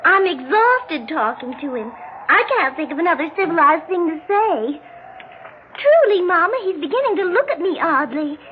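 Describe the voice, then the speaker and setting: Casting: girlish radio-play daughter, extremely high voice, affectionate yet fretful, melodramatic; thin, hissy 1960s sound. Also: sing-song, moderate pace. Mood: exasperated. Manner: melodramatic, prim, old-fashioned turns of phrase. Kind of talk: 1960s radio drama acting. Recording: muffled, noisy 1960s radio recording.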